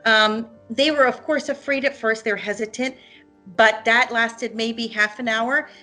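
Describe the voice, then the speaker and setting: Woman in her forties from Hungary, parent testimonial, halting with frequent ums, faint music behind. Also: a little high, steady tone, sharp tone. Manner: hesitant, many ums and restarts. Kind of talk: unscripted testimonial.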